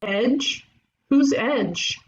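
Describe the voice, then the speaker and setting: A woman voicing a cocky, excitable young amateur detective in an animated comedy table read. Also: a little high, extremely slow, lo-fi sound. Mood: cocky, eager.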